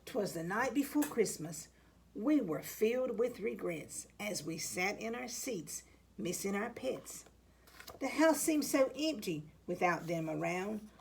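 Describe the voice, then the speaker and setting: A woman reading a rhyming children's picture book aloud, with deliberate, dramatic phrasing for young listeners. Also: mid-range voice, expressive, creaky, slight background noise. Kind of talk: expressive storybook read-aloud in rhyme.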